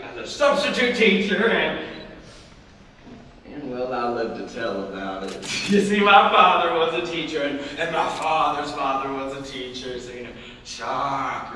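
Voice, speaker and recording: A young man acts out a shaken middle-aged English teacher who keeps stumbling over his words and half-laughing at himself. The voice sits at an ordinary pitch and is caught from far off in a big echoing hall, with steady noise behind it. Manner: halting, stammering restarts, wry self-mocking laughs. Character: haunted male high school English teacher, middle-aged, wry and broken. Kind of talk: competitive dramatic interpretation monologue. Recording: echoey hall from far off, noisy.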